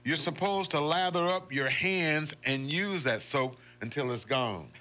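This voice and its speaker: A man's voice: fairly high pitch, unhurried, bright, forceful tone. A man hosting a morning radio show, chatting in a folksy way and laughing often. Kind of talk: casual radio chat. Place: radio studio. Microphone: studio microphone.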